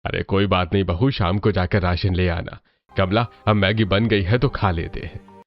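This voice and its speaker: A man's voice: low-pitched, fairly even intonation, normal speed, dull audio, faint music behind. A man playing an elderly, mild father-in-law in a family cartoon, chiding yet forgiving.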